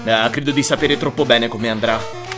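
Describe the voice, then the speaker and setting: A man's voice: mid-range voice, steady tone, moderate pace, over music, close to an everyday voice. A man voicing a jaded, foul-mouthed young trainer, sardonic and exasperated, in a comic cartoon dub.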